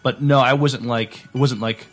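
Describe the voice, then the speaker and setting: A man's voice: medium pitch, steady tone, moderate pace. A man chatting casually as a podcast panelist. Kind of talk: casual chat.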